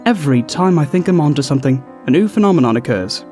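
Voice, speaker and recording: A man's voice: fairly high pitch, expressive, fast talker, dark tone, soft background music, lightly acted. A man playing a dignified, skeptical town watchman in a fantasy audio drama, speaking formally and precisely. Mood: exasperated, intense. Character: dignified, skeptical male town watchman, weary obsessive cloud observer.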